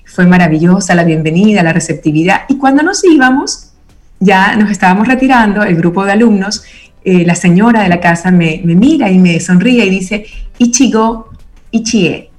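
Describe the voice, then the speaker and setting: A woman's voice: medium pitch, lively intonation, moderate pace, a little mellow. A woman speaking as an interview guest, reflective and earnest in a conversational style. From Venezuela.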